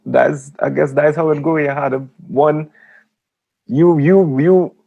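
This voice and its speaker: A man speaking in a relaxed, supportive way in a casual conversation. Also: a little high, gravelly, muffled recording. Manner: relaxed, supportive, quick affirmations. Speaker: interviewer.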